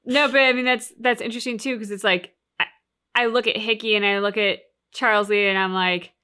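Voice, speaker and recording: A woman's voice: mid-range voice, steady tone, a little fast, sharp tone, clean tone. A woman co-hosting a game reaction show, talking casually and analytically about the story.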